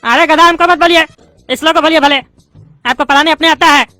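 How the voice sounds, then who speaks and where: A voice actor doing a squeaky, touchy bald politician. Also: fairly even intonation, sharp tone, no echo, soft background music. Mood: arguing. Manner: indignant, rapid-fire arguing and lecturing. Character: bald loudmouth male politician student, touchy and argumentative. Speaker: adult student in a class.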